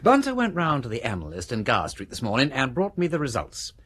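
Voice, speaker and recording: An actor playing a witty aristocratic English amateur detective in a British radio drama, brisk and theatrical as he reasons aloud. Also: medium pitch, wildly swooping pitch, fairly bright tone, breathy edge, heavily acted. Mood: keen, argumentative. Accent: upper-class English.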